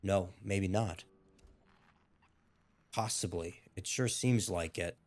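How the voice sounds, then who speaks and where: A man's voice: deep voice, slow. Brief: male gaming YouTuber, a bit under the weather and losing his voice, rambling and hesitant between moves. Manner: rambling, hesitant, with frequent restarts and fillers. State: a little ill, losing his voice. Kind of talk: casual playthrough commentary.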